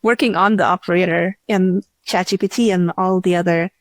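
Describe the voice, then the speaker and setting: A woman's voice: on the low side, fairly even intonation, fairly quick, no room sound. A woman speaking as an interview guest, explaining things informally in a casual, conversational way. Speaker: podcast guest, tech startup CEO.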